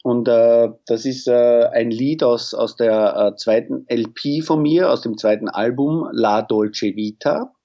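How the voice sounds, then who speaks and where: A man, a guest musician answering radio interview questions in a halting way, searching for words. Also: mid-range voice, warm tone, highs cut off. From Austria. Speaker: guest musician and sound engineer.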